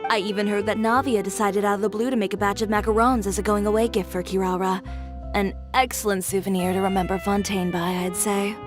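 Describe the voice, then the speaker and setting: An actress playing a poised, businesslike adult fashion designer with a composed, dry manner. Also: female voice, mid-range voice, steady tone, quick pace, lightly acted. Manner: composed, dry, matter-of-fact. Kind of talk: video game voice acting. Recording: game audio with loud music.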